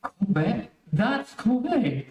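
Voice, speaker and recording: An actor playing a bewildered, alarmed doctor with exclamatory delivery in old-time radio melodrama. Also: male voice, high-pitched, sing-song, takes it slowly, darkish tone, full character voice. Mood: shocked. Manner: exclamatory, incredulous. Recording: echoey room, far from mic.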